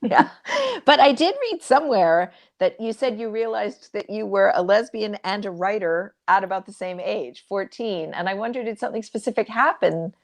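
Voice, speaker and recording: A woman's voice: medium pitch, moderate pace. A woman moderating a book event, asking questions in a conversational interview style.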